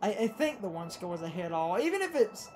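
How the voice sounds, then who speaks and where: A woman's voice: mid-range voice, sing-song, a little fast, mellow, a little hiss or hum. A woman doing casual gaming commentary, explaining things in a rambling, argumentative way over game music. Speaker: let's play co-commentator. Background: video game music.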